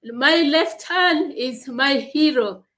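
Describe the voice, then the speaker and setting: A woman from Uganda, answering interview questions in slow, halting speech with long pauses. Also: high-pitched, fairly bright tone. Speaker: guest, leadership coach and speaker.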